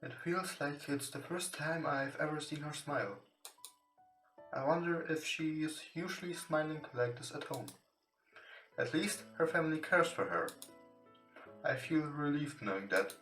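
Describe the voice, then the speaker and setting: Bright-voiced man, non-native English, roomy sound. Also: on the high side, a little slow. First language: not English. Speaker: let's play host. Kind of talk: reading visual novel text aloud. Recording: roomy echo, distant from mic. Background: soft guitar game music.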